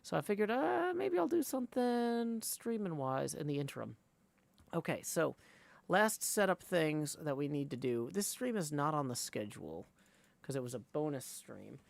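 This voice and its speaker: A man hosting a live game stream in a casual, rambling way, with a creaky voice. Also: a little high, big pitch swings, moderate pace, dry recording. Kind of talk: casual livestream chat.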